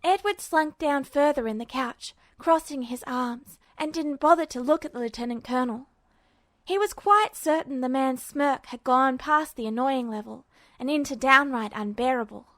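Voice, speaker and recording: A woman reads a story aloud in a bright, high voice, with steady and attentive narration. The recording is dry and close, with no echo. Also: normal speed. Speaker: podfic reader. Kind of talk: reading fan fiction aloud.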